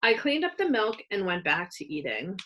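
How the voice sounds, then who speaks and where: A woman narrating a children's story aloud in an expressive read-aloud style. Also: medium pitch, moderate pace, poor audio.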